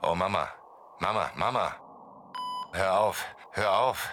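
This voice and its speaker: Very deep, delirious dying gangster. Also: male voice, takes it slowly, noisy background. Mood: pleading, delirious. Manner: pleading, fragmented rambling. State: feverish, delirious. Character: delirious dying gangster muttering fevered fragments. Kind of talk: radio play acting.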